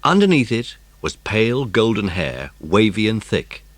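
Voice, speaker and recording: Deep voiced guy narrating kids audiobook, lively intonation, really dry recording. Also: a little slow, no room sound. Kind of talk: scripted narration of a children's story.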